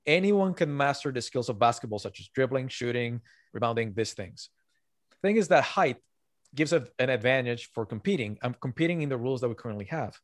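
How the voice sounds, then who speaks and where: A man's voice: medium pitch, lively intonation, a little fast, dry recording, studio quality. A man who is not a native English speaker, talking earnestly as an author guest on a podcast and repeating his key points. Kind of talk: podcast interview.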